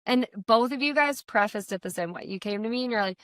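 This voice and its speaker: A woman in her thirties co-hosting a casual podcast among friends, chatty and quick, laughing often. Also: a little high, fairly bright tone, no room sound. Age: 30s. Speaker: podcast co-host.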